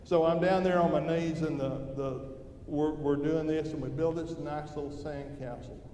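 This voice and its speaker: A man's voice: fairly high pitch, fairly even intonation, normal speed, warm tone. Older man preaching in an echoing hall. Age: older, grandfather. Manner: folksy, conversational, asks the listeners questions. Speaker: devotional speaker at a church service. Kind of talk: devotional talk. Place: church hall during an evening service. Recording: roomy, echoing hall with background noise.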